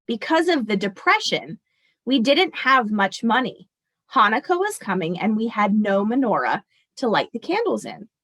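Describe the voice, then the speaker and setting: A woman as a kindly, expressive storybook grandmother. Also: a little high, moderate pace. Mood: fond, reminiscing. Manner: gentle, reminiscing. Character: kindly, wistful old grandmother telling memories, in a storybook.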